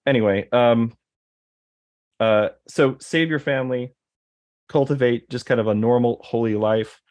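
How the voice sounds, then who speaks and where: A man's voice: mid-range voice, a little slow, darkish tone. A man in casual conversation, rambling, with many fillers and restarts. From American Deep South. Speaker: guest.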